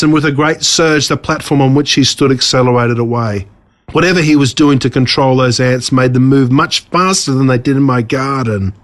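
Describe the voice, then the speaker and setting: A man with an Australian accent reading his own story aloud as a scripted narration, measured and dramatic. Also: mid-range voice, a bit bright.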